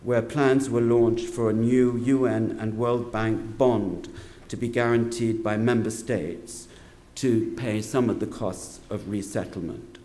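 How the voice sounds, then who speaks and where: An older man giving a formal, measured talk from a lecture hall podium. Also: medium pitch, steady tone, warm tone. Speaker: panel speaker. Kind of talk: reading a prepared lecture. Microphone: podium microphone.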